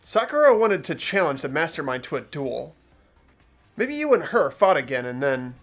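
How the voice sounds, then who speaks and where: A man's voice: fairly high pitch, very animated, moderate pace, a bit bright, soft background music. A man playing an earnest, anguished teenage boy who narrates a fan-voiced game dramatically. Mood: accusing.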